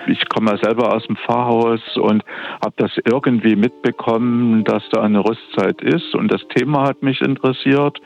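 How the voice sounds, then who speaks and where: A man's voice: lowish voice, creaky. A man in his fifties from Dresden, a guest speaking by phone in an interview, reflective and hesitant, often restarting his sentences. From Dresden, Germany. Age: 50s. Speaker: interview guest, academy director. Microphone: telephone line. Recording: muffled phone line.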